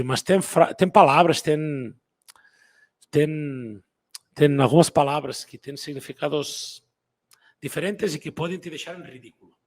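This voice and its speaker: This is a middle-aged man who learned Portuguese in Brazil, so he speaks it with a non-native, Brazilian-tinged accent. His voice sits a bit high and gravelly, with a husky edge. He talks casually to his audience, circling back, repeating himself and tagging sentences with "né?". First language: not Portuguese. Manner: rambling, repeats words and restarts, frequent "né?". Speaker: travel club presenter. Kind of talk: casual talk to viewers.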